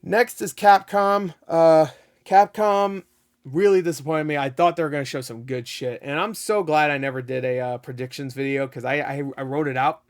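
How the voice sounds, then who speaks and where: Man, slightly high voice, excitable and rambling, casual game review host, a bit raspy. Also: moderate pace. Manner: excitable, rambling, laughs often, swears. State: voice getting raspy. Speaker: gaming video host.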